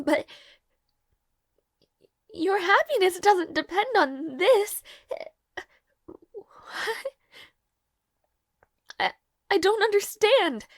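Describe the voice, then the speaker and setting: A woman playing a timid, devoted young maid in a dramatic audio roleplay, with halting, stammering, breathy speech. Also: very high-pitched, no echo, clean studio sound, heavily acted. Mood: urgent, pleading.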